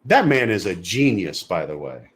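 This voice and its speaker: A man's voice: deep voice, very animated, moderate pace, slightly rough. A man co-hosting a casual podcast discussion, often repeating words as he searches for his phrasing. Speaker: podcast co-host.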